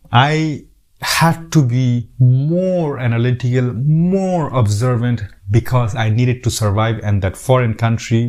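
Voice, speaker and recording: A man's voice: mid-range voice, a little mellow. A middle-aged man from Bangladesh with a Bangladeshi accent, giving earnest casual advice to camera, often repeating words and restarting his sentences. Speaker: YouTube host.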